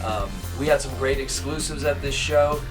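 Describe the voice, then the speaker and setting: A hoarse, tired man hosting a casual toy review, chatting along and often clearing his throat. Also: mid-range voice, normal speed, over music. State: hoarse, voice partly lost. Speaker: toy reviewer and channel host.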